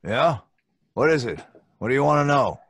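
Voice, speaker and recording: Radio play superintendent: wary, colloquial, obliging but blunt, bouncy intonation, close dry sound. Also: male voice, mid-range voice, lively intonation, normal speed, fairly bright tone, breathy edge, no echo. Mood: curt, wary. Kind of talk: old-time radio suspense acting.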